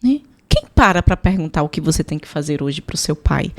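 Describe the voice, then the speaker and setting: A woman's voice: low voice, animated, brisk, background noise. A woman with a Brazilian accent telling personal testimony as a podcast guest, emphatic, repeating phrases and voicing her own prayers.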